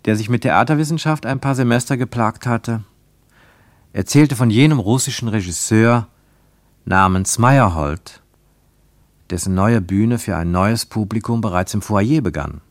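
A man's voice: lowish voice, no room sound. A man narrating a radio play in a scripted, literary storytelling style.

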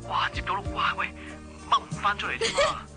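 An actor playing a playful, doting young boyfriend in a Hong Kong Cantonese radio drama. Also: male voice, sits high, wildly swooping pitch, a little fast, music in the background. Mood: mock wailing.

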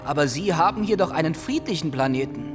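Man acting as troubled earth guy in a radio play, highish voice, earnest n hesitant, faint music. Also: animated, quick pace, natural voice. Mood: hesitant, puzzled.

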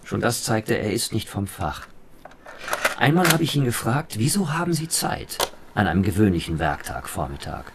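An actor playing an academic, measured and guarded, in a radio drama. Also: male voice, fairly low pitch, big pitch swings, background noise.

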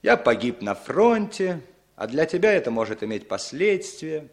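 A man's voice: a little high, big pitch swings, moderate pace. An actor playing an evasive, bitter long-lost older brother in a 1960s Soviet radio drama, emotional, repeating his words. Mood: resigned, bitter.

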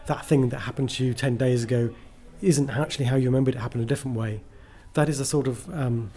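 A man's voice: mid-range voice, steady tone, quick pace, warm tone. A man being interviewed as a writer, chatting casually and enthusiastically, often rambling and breaking into his own sentences.

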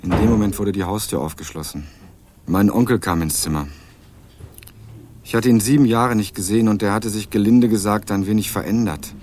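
A man's voice: on the low side, brisk, a little mellow, slight background noise. A young man narrating a radio crime drama in the first person with dry, wry irony. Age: young adult.

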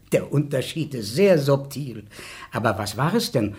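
An effusive old baron. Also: male voice, medium pitch. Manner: effusive, pleading courtesy. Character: fussy fatherly old baron, host. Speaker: actor. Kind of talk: radio play acting.

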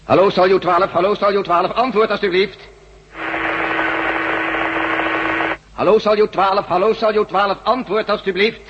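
A man's voice: high voice, fairly even intonation, close to an everyday voice. A man playing an impatient, authoritative general at a radio set in a science-fiction radio drama. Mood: calling, insistent. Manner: authoritative, brisk, giving orders. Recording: muffled, noisy old radio drama recording.

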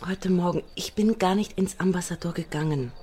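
A woman's voice: lowish voice, brisk, mellow, lightly acted. An actress playing a proud, guarded young lady in a radio drama, speaking in a formal, reserved way. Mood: uneasy, intimate. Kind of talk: German radio drama acting.

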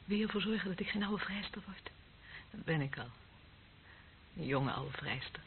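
A woman's voice: fairly low pitch, lively intonation, moderate pace. Bitter young policewoman, tinny noisy recording. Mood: bitter, desolate. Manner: bitter, taunting, intense, often dropping to a whisper. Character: embittered, lonely young policewoman, former death squad member. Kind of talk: radio drama acting. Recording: muffled phone-like band, clearly noisy old recording.

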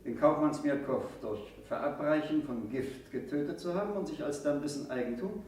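A man's voice: a little high, normal speed, a little mellow, a little hiss or hum, natural voice. Man acting stern judge in very echoey room. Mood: formal reading. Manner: formal, clipped, official. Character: stern, brisk presiding court judge. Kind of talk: 1960s German radio play acting. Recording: echoey courtroom scene, distant from mic.

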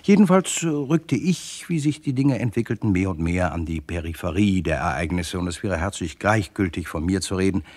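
Deep-voiced, wry crime narrator. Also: male voice, expressive, moderate pace, few pauses, darkish tone. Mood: musing, wry. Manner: wry, digressive, addressing listeners. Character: wry, digressive storyteller, a writer recounting a murder case. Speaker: first-person narrator. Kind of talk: literary scripted narration in radio crime drama.

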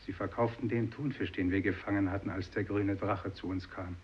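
An actor playing a weary fisherman narrating his crew's fate in a radio drama, with a husky voice. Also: low voice, fairly even intonation, fairly quick, slight background noise, lightly acted. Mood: sombre. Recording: dull radio drama recording.

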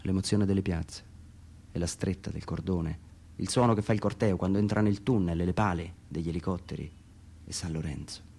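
A man reads his own literary monologue for radio theatre in a measured, reflective way, pausing between short phrases. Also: low-pitched, vocal fry, warm tone, husky. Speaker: author reading own text.

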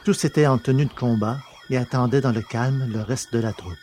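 A man's voice: mid-range voice, steady tone, a little fast, a little mellow, a little hiss or hum. A man narrating a scripted science fiction adventure story in a literary, storytelling style.

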